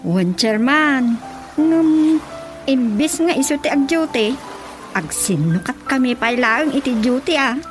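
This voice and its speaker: High-voiced, grumbling village councilwoman, Ilocano. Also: expressive, measured pace, soft background music. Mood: reporting. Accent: Filipino, Ilocano. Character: female village councilor, grumbling to her chairman. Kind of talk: radio drama comedy acting.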